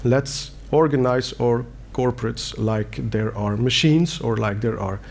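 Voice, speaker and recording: A man's voice: mid-range voice, measured pace, creaky, darkish tone, slight background noise. Belgian man giving a conference talk. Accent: Belgian Dutch. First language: Dutch. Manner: earnest, plain wording with fillers and restarts. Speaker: conference speaker. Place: conference hall.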